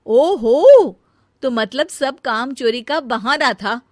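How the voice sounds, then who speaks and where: A woman's voice: sits high, animated, takes it slowly, no room sound, studio quality, pitched above the performer's own voice. A woman doing an earnest, dutiful daughter-in-law. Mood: scolding. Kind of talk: cartoon voice acting.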